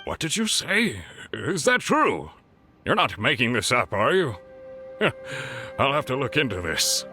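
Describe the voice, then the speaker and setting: Snobbish middle-aged uncle, grumbling and dismissive, very sharp tone, lively delivery. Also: male voice, medium pitch, measured pace, soft background music.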